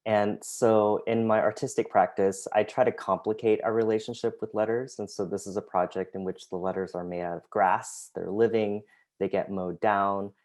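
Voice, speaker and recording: A man's voice: fairly low pitch, fairly even intonation, normal speed. A man, a graphic designer and artist, presenting his work over a video call, with many hesitant fillers. His first language was Vietnamese. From United States. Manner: hesitant, many ums, soft-spoken. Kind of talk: presenting artwork in an online talk.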